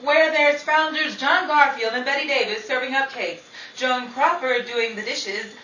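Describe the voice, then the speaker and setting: A woman's voice: sits high, measured pace, pushed and bright. A woman acting as a wisecracking 1940s radio announcer and servicewoman, with a showy, theatrical delivery. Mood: showy, inviting. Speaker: announcer and actress. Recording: echoey room, distant mic, crowd noise.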